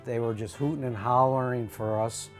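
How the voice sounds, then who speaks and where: A man's voice: mid-range voice, steady tone, moderate pace, soft background music. An American man in his sixties, a songwriting musician, talking casually in an interview. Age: 60s.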